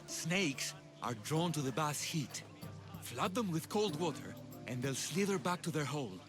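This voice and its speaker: A man playing a sly, easily indignant bathhouse keeper of ancient Greece in a video game, with a haughty, expressive delivery. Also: on the high side, a little slow, over music. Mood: wry. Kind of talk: dramatic video game cutscene acting.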